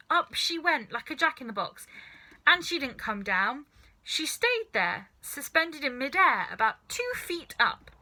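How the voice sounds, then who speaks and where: A woman narrating a children's story in an expressive, scripted storytelling style. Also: high voice, low-quality recording. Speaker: storybook reader.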